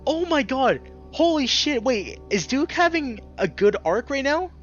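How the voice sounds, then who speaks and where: A young man narrates a visual novel with a lively, swinging pitch and breaks into excitable commentary. Game music is clearly audible behind him, and the sound is slightly dull. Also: measured pace. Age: young adult. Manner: excitable, emphatic reactions. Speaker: gaming video host reading a visual novel aloud.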